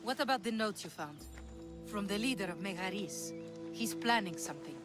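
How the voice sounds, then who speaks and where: A woman's voice: medium pitch, lively intonation, moderate pace, lightly acted. An actress playing a bold, self-assured young woman who yearns for heroic glory, in video game cutscene dialogue over music. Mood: determined, hopeful.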